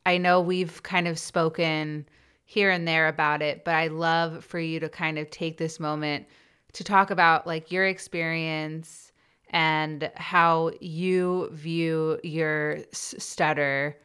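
A woman's voice: low-pitched, flat delivery, takes it slowly, fairly bright tone, smooth. A woman hosting a podcast interview, speaking with a stutter that repeats sounds and blocks on words. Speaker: podcast host.

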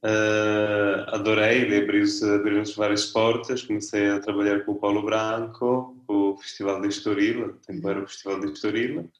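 A man from Italy with an Italian accent, a festival director speaking Portuguese as a second language in a relaxed, hesitant interview. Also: lowish voice, normal speed, few pauses, echoey room, dull audio. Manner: relaxed, hesitant, searching for words. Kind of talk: interview guest.

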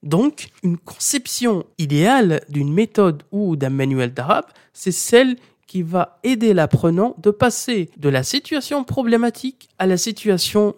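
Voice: medium pitch, a little mellow. A language teacher giving a didactic talk, speaking straight to the learner and insisting on key points by repeating them. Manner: speaks directly to the listener as "tu". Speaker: Arabic teacher.

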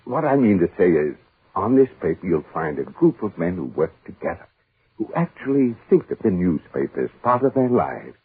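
Husky, stammering old newspaperman. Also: low-pitched, sing-song, moderate pace, no room sound, heavily acted. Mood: polite, eager. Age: older. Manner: hesitant, stammering, earnest. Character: humble, devoted aging newspaper columnist, anxious and rambling. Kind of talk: 1940s radio drama acting. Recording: muffled, band-limited 1940s radio recording.

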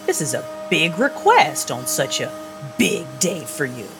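An actress playing a calm, calculating vampire woman who gives orders in a deliberate, businesslike way in an audio drama. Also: deep voice, creaky, music in the background, full character voice. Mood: coolly persuasive.

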